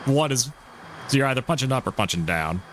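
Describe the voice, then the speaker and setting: A man's voice: mid-range voice, expressive, fairly quick, background noise. A man streaming a racing game, chatting casually and rambling, often stumbling over his words. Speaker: live streamer. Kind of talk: casual gameplay commentary. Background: music.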